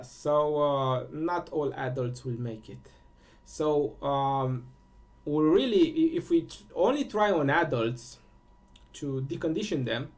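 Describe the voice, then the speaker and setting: Male host, Romanian accent, slightly high voice with gravelly fry, hesitant delivery full of fillers. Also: a little mellow. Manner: halting, many fillers and restarts. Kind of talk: casual spiritual talk.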